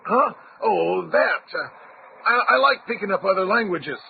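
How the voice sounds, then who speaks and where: Hoarse, shifty radio-serial crook. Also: male voice, high voice, lively intonation, a little slow, exaggerated voice. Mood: evasive. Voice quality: hoarse, gravelly. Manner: slangy, rough talk with British turns. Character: shifty crook posing as a tough American adventurer. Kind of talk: old-time radio adventure acting. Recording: tinny narrow-band radio recording with hiss.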